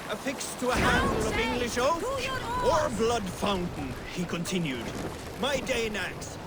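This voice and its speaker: A man playing a Viking raider who tells a boastful tale in a dramatic storytelling style, in a video game. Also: high voice. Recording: loud water and ship noise behind.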